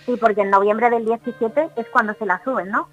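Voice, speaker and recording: A woman's voice: on the high side, steady tone, brisk, muffled recording, soft background music. A woman with a Spain accent giving opinions in a casual radio panel chat.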